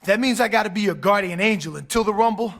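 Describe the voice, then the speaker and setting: A man playing a cocky, streetwise wrestler with a raspy voice in a scripted video game cutscene. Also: high-pitched, fast talker, a bit bright, no room sound. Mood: determined. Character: cocky, streetwise wrestler ally, loyal and combative. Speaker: wrestler character.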